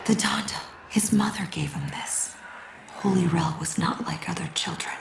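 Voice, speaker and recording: A woman playing a devout, mystical cult guardian in a game, solemn and incantatory with a breathy, creaky voice. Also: on the low side, normal speed, whispered. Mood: reverent. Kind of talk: dramatic game voice acting. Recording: echoey reverb with prominent music behind.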